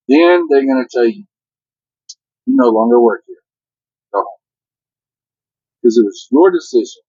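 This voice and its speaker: Husky older man, truck driver. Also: medium pitch, dry recording, muffled recording. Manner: matter-of-fact, gives safety advice. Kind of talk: casual vlog chat.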